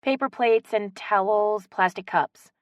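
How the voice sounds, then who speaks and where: A woman's voice: mid-range voice, unhurried, no room sound, lo-fi sound, pitched above the performer's own voice, close to an everyday voice. A woman narrator voicing a polite, good-natured woman in an audiobook story. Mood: courteous.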